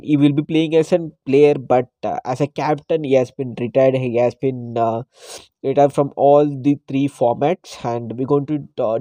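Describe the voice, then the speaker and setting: Male podcast host, hoarse with a cold, mellow dark tone, dry close sound. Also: mid-range voice, no echo. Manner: hesitant, frequent "uh" fillers. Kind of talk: casual solo cricket podcast.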